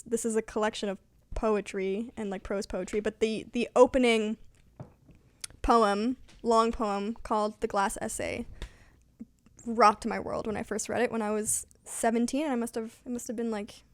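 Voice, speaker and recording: A woman's voice: on the high side, fairly even intonation, moderate pace, no echo. A young woman co-hosting a book vlog, chatting casually and unscripted in a playful, wry manner. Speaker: book vlogger, co-host asking questions.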